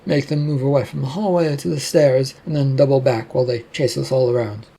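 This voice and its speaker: A narrator plays a cheeky audiobook daredevil, a man with a mellow, husky voice. He sounds reckless and unbothered, talking casually as if danger were no big deal. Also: medium pitch, fairly even intonation, a little fast. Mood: breezy, unfazed.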